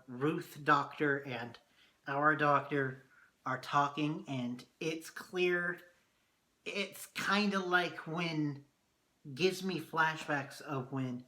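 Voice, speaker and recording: A man reviewing a TV episode in a casual vlog, excitable and swearing often, his voice going hoarse. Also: medium pitch. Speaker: TV review vlogger.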